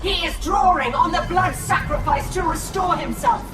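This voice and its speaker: A woman's voice: extremely high pitch, normal speed. A woman voicing a solemn, proud alien seer in a video game, speaking in grave, formal and commanding declarations. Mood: vengeful fury. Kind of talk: video game cutscene acting. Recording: echoey, distant, under loud music.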